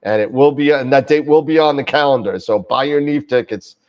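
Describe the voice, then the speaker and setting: A man's voice: medium pitch, a little fast, a little mellow, recorded from a distance. A man hosting a live astronomy show, talking casually with many fillers and restarts while getting over a cold. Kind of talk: casual live-stream chat.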